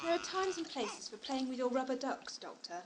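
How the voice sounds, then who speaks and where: A woman plays a young sidekick, eager and teasing, in a 1980s audio drama. Her voice is high and very lively in pitch, over clearly audible noise. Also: moderate pace. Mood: teasing, urgent. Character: bright, eager, teasing young female companion of time traveller.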